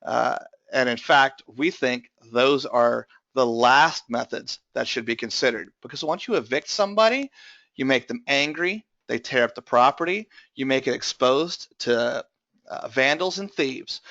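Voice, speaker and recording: A man's voice: mid-range voice, unhurried, gravelly, sharp tone, dry recording, highs cut off. A man presenting an investing webinar, his voice strained from recent bronchitis, explaining steadily with many filler uh's. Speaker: tax lien investment presenter.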